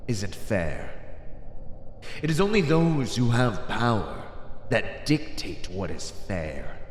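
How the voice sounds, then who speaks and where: Villain warlord, male actor for ASMR roleplay: condescending, coldly persuasive, unhurried delivery with big swings in pitch, reverberant hall. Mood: imperious, cold. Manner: deliberate, menacing, condescending, theatrical. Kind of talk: ASMR roleplay, dramatic monologue. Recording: echoey hall reverb, faint noise.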